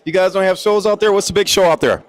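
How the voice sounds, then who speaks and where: A man in his fifties interviewing athletes at a contest, enthusiastic and playful, asking quick questions. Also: high voice, fairly even intonation. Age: 50s. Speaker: event interviewer. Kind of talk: upbeat event interview.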